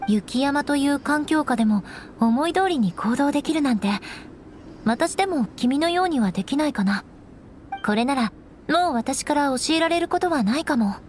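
A woman's voice: high voice, animated, moderate pace, a little hiss or hum. A young woman acting a proud, composed noblewoman knight in an anime game, strict but caring, with elegant, instructing delivery. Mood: cool, appraising. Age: young adult. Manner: poised, elegant, haughty, instructing.

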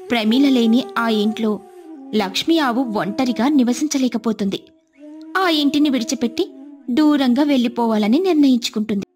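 A woman narrates a devotional moral story as a storyteller. Her voice sits fairly high, and faint music runs behind the scripted telling. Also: darkish tone.

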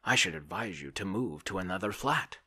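Storybook bully voiced by a man: brash, hectoring, swooping emphatic delivery, sharp tone. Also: sits low, lively intonation, brisk, breathy edge, pitched above the performer's own voice, heavily acted. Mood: blustering, bossy. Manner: blustering, scolding, bossy. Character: brash, bullying, grasping Russian man, hectoring his friend. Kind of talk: audiobook character voice.

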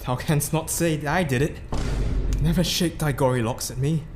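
A man's voice: fairly high pitch, moderate pace, close to an everyday voice. A man playing a guilt-haunted, boastful and terrified king in a theatrical reading of a Shakespearean tragedy. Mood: haunted, defensive. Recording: noisy amateur recording.

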